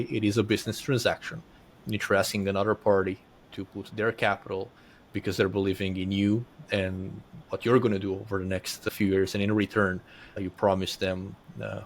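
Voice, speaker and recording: A man hosting a podcast, affirming his guest and asking long, polished framing questions. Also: fairly low pitch. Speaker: podcast host. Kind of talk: interview host.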